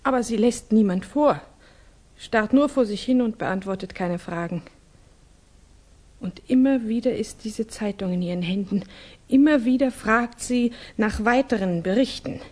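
A woman's voice: on the high side, a little slow, a little mellow. An actress playing an earnest, worried young Russian woman of good family in a 1960s German radio drama, grave and formal.

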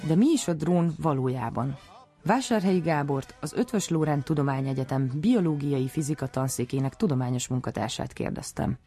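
A woman's voice: deep voice, dark tone, soft background music. A woman radio host and editor who presents scripted narration and puts questions in an interview.

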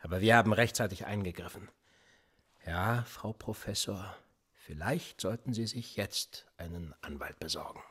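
An actor playing a sardonic, probing police interrogator in a naturalistic radio drama, speaking in a deliberate, controlled way. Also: male voice, deep voice, a bit bright, breathy edge. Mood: cold, menacing. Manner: deliberate, probing, dryly ironic.

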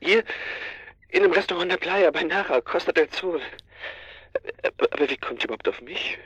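A man's voice: high voice, animated, a little fast, fairly bright tone, breathy edge, dry recording, exaggerated voice, rough recording. An actor playing a chatty man on holiday in a radio drama, rambling and hesitant, anecdotal and slightly defensive. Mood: flustered. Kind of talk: naturalistic German radio drama.

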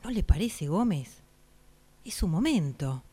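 A woman in a radio drama exercise playing a solemn superior urging someone to seize the moment, formal and halting. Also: lowish voice, sing-song, warm tone, breathy edge, heavily acted.

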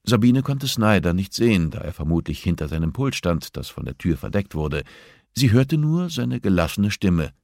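Male audiobook narrator, low register, scripted fiction reading, dry studio sound. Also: sing-song, normal speed, creaky, a little mellow, dry recording.

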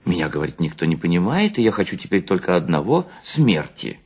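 A man playing a chatty, gullible husband in a story reading, animated and scoffing as he gossips. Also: low voice, fast, slightly rough, heavily acted. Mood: gossipy. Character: chatty, gullible husband mockingly quoting his wife's admirer.

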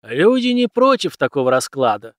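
A man's voice: fairly high pitch, animated, normal speed, no room sound, studio quality, lightly acted. A man voicing a stern, cynical, commanding mentor in an audiobook, lecturing emphatically with rhetorical questions. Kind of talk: audiobook character dialogue.

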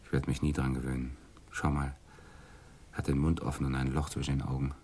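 Very deep-voiced police sergeant. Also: male voice, level tone, a little fast, warm tone. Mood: sober. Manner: brief, matter-of-fact. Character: plain-spoken male police sergeant questioning witnesses. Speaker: actor. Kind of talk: German radio crime drama acting. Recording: some background noise and ambience.

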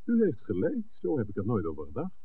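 Dry, polite writer for vintage radio drama: lowish voice, narrow recording with faint noise. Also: male voice, huge pitch swings, fast talker, distant mic. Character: level-headed, tactful film writer, a man. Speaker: actor in a radio play. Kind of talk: 1960s Dutch radio drama acting. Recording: thin, noisy old radio recording.

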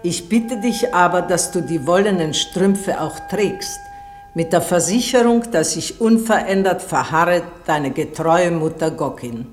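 An actress playing a dutiful, thrifty mother of olden times, reciting letters and accounts in a measured, matter-of-fact way in a radio play. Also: on the low side, animated, faint music behind. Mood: earnest, caring.

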